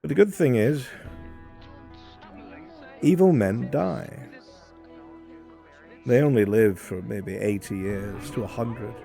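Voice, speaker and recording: A man playing a solemn, philosophical imperial in a fantasy roleplay, speaking in a measured, brooding way with deliberate pauses. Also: mid-range voice, vocal fry, dark tone. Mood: grave, brooding. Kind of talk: improvised fantasy roleplay acting. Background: background music.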